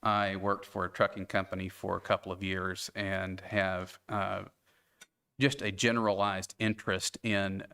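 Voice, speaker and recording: A man in his forties with a Tennessee accent, speaking earnestly with halting restarts as an applicant at a town board meeting. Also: sits low, level tone, gravelly, pushed and bright, smooth. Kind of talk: interview for a board appointment.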